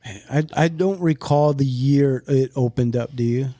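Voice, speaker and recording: A man's voice: medium pitch, normal speed, mellow, dry recording. A middle-aged man hosting a podcast, chatty and easygoing as he prompts memories into a close mic. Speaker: podcast host. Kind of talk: casual chat.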